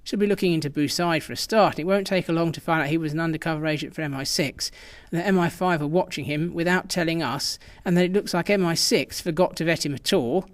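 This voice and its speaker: An actor plays a ruthless male intelligence chief in a radio drama. His voice sits a little high for a man, and he stonewalls with brusque, dismissive confidence. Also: fast talker, hardly any pauses, natural voice. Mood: stern, urgent.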